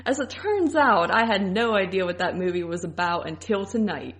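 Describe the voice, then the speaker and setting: A woman's voice: medium pitch, animated, normal speed, fairly bright tone, slight background noise. A young adult woman chatting casually as a podcast co-host. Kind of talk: casual chat.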